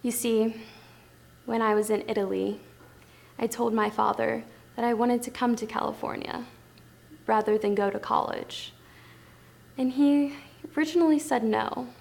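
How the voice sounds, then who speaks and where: Woman playing young aspiring actress, high voice, creaky edges, earnest, gushing, hopeful, stage monologue. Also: steady tone, a little slow, pauses often, darkish tone. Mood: determined, earnest. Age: young adult. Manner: earnest, gushing, girlish politeness. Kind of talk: theatrical monologue.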